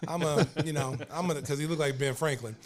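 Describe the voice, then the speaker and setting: An older man from Oklahoma hosts a sports podcast and talks loosely, piling on fillers and restarts as he spins stories. He laughs his way through anecdotes and sounds animated and at ease. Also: fairly high pitch, fast talker. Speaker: podcast host, former pro basketball player. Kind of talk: casual sports talk and storytelling.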